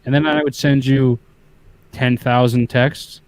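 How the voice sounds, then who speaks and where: A man's voice: mid-range voice, little pitch movement, measured pace, a little hiss or hum. A man appearing as a guest on a casual livestream, chatting in a laid-back way.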